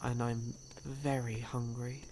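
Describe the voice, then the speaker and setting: A man playing a suave, sly, hungry male vampire in an ASMR audio roleplay, speaking in a teasing, drawn-out manner. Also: mid-range voice, flat delivery, noisy background. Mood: hungry, seductive. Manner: slow, drawn-out, teasing, with breathy chuckles.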